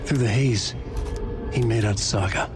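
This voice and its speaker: Low brooding horror game narrator, a male actor. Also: lightly acted. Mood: brooding, ominous. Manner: measured, noir-like, solemn delivery. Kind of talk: scripted dramatic narration. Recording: music behind the voice.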